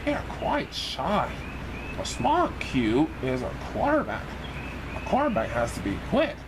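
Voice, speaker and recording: A man's voice: a little high, wildly swooping pitch, a little slow, background noise. A man reading a children's storybook aloud in an exaggerated sing-song way for young listeners.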